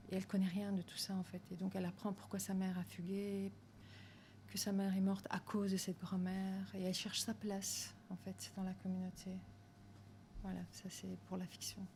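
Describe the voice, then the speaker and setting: A woman's voice: mid-range voice, a little mellow, a little hiss or hum. A woman film director talking as a guest in a café, reflective, with frequent fillers. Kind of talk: casual interview chat.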